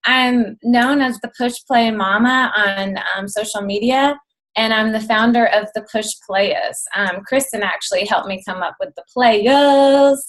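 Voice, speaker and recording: Slightly hoarse woman in her thirties. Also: a little high, a little slow. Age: 30s. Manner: chatty, excitable, rambling. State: losing her voice. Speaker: guest speaker, coach. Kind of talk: casual talk on a video call. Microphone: video call.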